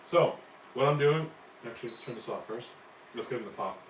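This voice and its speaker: A man with a raspy voice that cracks after a flu talks his way through a recipe on a live stream, chatty and upbeat. He is heard from a distance in an echoey kitchen with faint background noise. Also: mid-range voice, a little fast. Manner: upbeat, chatty, explains steps as he cooks. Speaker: home cooking channel host. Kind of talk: live cooking stream chat. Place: home kitchen. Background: kitchen clatter, hot plate.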